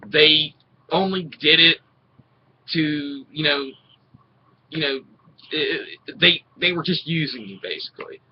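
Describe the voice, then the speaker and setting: A middle-aged man, a musician guest, explaining things casually and haltingly in an interview. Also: a little high. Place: small indoor room with posters. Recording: dull, noisy webcam call audio.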